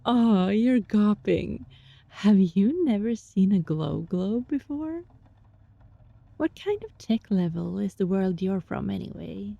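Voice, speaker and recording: A woman playing a gentle, sly young fox-spirit student in an intimate ASMR roleplay, speaking softly and breathily in a coaxing, teasing way. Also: mid-range voice, lively intonation, slow, no echo, muffled recording, exaggerated voice. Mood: friendly, relieved. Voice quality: soft, breathy.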